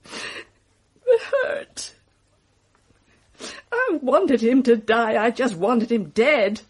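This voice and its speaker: Emotional, fragile film-star suspect. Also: female voice, a little high, big pitch swings, slightly rough, heavily acted. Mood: anguished. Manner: halting, dramatic, confiding. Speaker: actor. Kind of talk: radio mystery drama acting.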